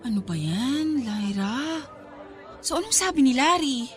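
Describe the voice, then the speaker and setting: A woman's voice: high voice, sing-song, measured pace, over music. A woman playing a protective, outspoken young best friend, emphatic and scolding, with a Filipino accent in a Tagalog radio drama. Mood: indignant.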